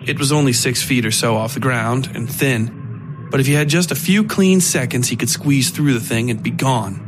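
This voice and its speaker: A man narrates a scripted horror story for a podcast, in a middle-pitched voice. Music runs clearly behind the narration. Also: normal speed.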